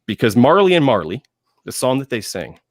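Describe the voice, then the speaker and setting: A man speaking as a panelist in enthusiastic film talk, emphatic, with dramatic pauses and phrases repeated for effect. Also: medium pitch, big pitch swings, dry recording, studio quality.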